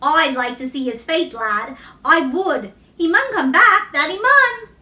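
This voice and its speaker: A woman voicing a warm, plain-spoken middle-aged Yorkshire countrywoman in a storybook, with folksy, emphatic dialect. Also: high voice, lively intonation, measured pace, heavily acted. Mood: amused, fond. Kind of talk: children's storybook read-aloud. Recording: roomy echo, distant microphone.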